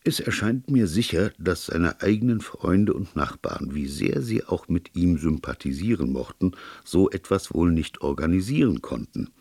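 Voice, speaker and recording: A man acts out a serious, plain-spoken police colonel from a storybook. The voice is deep and creaky, recorded very dry. He speaks formally and earnestly, weighing his words. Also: lively intonation, normal speed, few pauses, dark tone, no room sound, exaggerated voice. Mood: grave, emphatic. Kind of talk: audiobook character dialogue.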